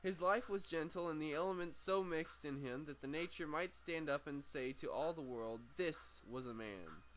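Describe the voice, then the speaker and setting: A man's voice: fairly high pitch, fairly bright tone, poor audio. A man reading a book aloud as an amateur audiobook reader, often stumbling over words and repeating phrases. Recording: amateur recording with clear background noise.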